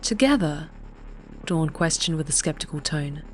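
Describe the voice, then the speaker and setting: A woman's voice: low voice, little pitch movement, moderate pace. A woman narrator reading a scripted story in a dramatic audiobook style. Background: faint music.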